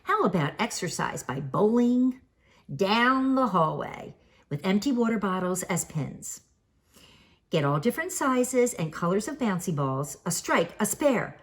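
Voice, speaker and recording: A woman's voice: medium pitch, big pitch swings, measured pace. An older woman reading a children's storybook aloud in a theatrical, sing-song way with emphatic questions and answers. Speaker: author reading her picture book aloud.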